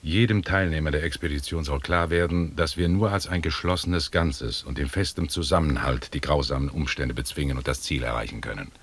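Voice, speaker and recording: An actor playing a stern expedition commander in a radio play, formal and commanding, with a husky voice. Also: male voice, rumbling low, brisk, hardly any pauses, full character voice. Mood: grim, formal. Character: stern British expedition commander in colonial Africa. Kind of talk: 1980s German radio play acting.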